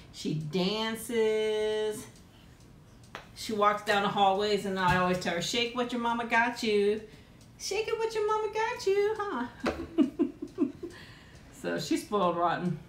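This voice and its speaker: A woman in middle age hosting a casual live chat with viewers at home, rambling and laughing often, with frequent ums. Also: takes it slowly. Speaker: host of a live chat and eating stream. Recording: echoey room with faint rumble.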